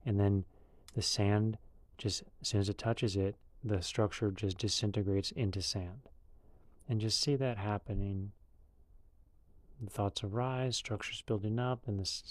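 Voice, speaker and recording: A man leading a guided meditation in a calm, unhurried, loosely conversational way. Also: lowish voice, dry recording.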